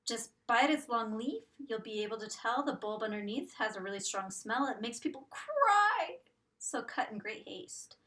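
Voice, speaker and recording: A woman teacher reading a picture book aloud to young children, expressive and sing-song, pausing to ask guessing questions. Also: on the high side, recorded from a distance.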